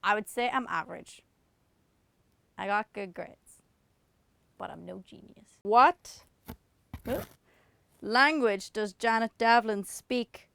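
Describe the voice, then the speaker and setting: A woman's voice: medium pitch, slow pace, vocal fry, long pauses, a bit bright, clear, resonant tone, dry recording. A young woman from Ireland with an Irish accent, chatting casually and self-mockingly to camera as she answers questions about herself. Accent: Northern Irish. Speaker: singer answering autocomplete questions.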